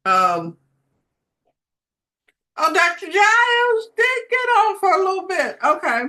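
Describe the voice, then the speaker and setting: Host on a video call, woman, unhurried and bright, fond and chatty. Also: very high voice, sing-song. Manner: affectionate, rambling. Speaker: meeting host. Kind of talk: casual video call greetings.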